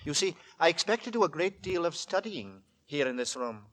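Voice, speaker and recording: An actor playing a weary, secretive, quietly menacing gentleman lodger in a formal, halting, repetitive manner, in 1940s radio horror style. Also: on the high side, big pitch swings. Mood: intense, distracted. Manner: formal, halting, repeats his words. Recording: vintage 1940s radio broadcast recording.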